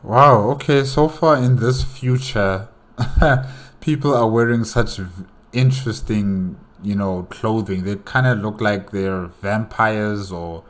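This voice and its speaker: A man's voice: fairly low pitch, slow. A man reacting casually as a host, musing and asking questions aloud as he comments.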